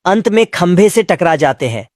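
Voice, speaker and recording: A narrator with a medium pitch delivers a scripted comic cartoon recap. The wording is colloquial and slangy, told as a running story, over faint noise. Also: sing-song, moderate pace, darkish tone.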